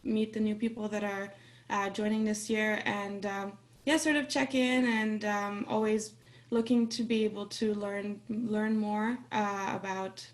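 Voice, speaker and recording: A woman from Austria whose first language is Farsi, introducing herself in a relaxed, friendly way on a video call. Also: mid-range voice, unhurried. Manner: friendly, relaxed, laughs now and then. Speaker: workshop participant. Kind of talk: casual self-introduction.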